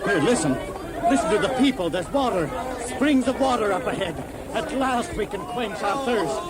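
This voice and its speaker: An actor playing a faithful, authoritative Israelite father in an audio Bible drama for children, with a husky voice. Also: high voice, expressive, moderate pace, a loud crowd. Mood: excited. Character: steady, faithful Israelite father, head of a wandering family.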